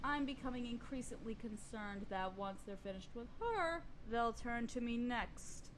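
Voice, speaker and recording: A woman's voice: on the high side, animated, a little hiss or hum. A woman playing an imperious evil queen and sorceress in a fantasy audio roleplay, speaking formally with pompous, condescending menace. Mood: calculating. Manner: formal, pompous, condescending, slow deliberate delivery. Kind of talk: scripted fantasy audio roleplay addressed to listener.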